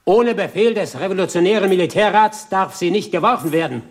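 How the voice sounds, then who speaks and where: An actor in a German radio play playing a shattered, fanatical military airman who speaks haltingly and theatrically, mocking and commanding. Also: sits high, lively intonation.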